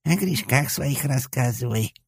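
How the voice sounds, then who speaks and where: A man's voice: mid-range voice, unhurried, vocal fry, breathy edge, no room sound, clean studio sound, voice pushed up. A man voicing the cheeky, crude left head of a three-headed hellhound, with a nasal, sleazy junkie's whine. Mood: sneering. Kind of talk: audiobook character voice.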